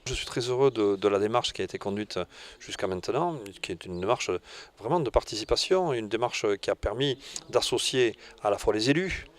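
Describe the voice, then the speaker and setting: A man speaking in an interview as a director, halting and repeating his words. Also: on the low side, lively intonation. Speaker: tourist office director. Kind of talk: spoken interview answers.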